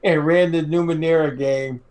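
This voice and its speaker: A man's voice: on the high side, fairly even intonation, moderate pace, darkish tone. A man co-hosting a podcast in casual conversation. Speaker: podcast co-host. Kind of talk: casual chat.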